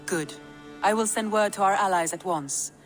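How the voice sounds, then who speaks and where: A woman playing a composed, supportive female adviser in a Viking video game, calm, measured and formal. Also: mid-range voice, soft background music, natural voice. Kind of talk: dramatic video game acting.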